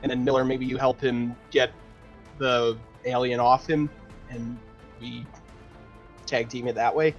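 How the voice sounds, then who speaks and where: A man playing a tabletop game, thinking aloud haltingly in casual chat, on slightly lo-fi audio with music behind. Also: medium pitch, flat delivery. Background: background music.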